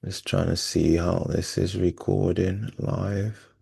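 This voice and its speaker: A man's voice: low voice, flat delivery, takes it slowly, creaky, darkish tone, husky. A man hosting a football podcast over a call, easygoing, often repeating phrases as he runs the show. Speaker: podcast host. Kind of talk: casual podcast chat.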